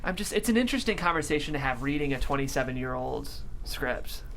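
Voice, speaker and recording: A woman's voice: extremely low pitch, lively intonation, fast talker, a bit bright. Analytical woman in casual panel talk, faint noise. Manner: analytical, frequent "like" as she reasons aloud. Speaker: panelist and writer. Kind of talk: casual opinionated panel discussion. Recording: faint background room noise.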